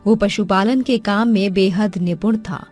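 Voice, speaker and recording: A woman narrating a cartoon story in a clear, even way. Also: mid-range voice, measured pace, soft background music.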